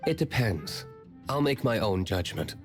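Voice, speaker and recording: Game role: guarded, authoritative tavern boss, measured and cynical with expressive inflection over soft music. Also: male voice, fairly low pitch. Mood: stern, guarded. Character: stern, guarded tavern owner, cynical young man. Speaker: video game character. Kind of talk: scripted game voice acting.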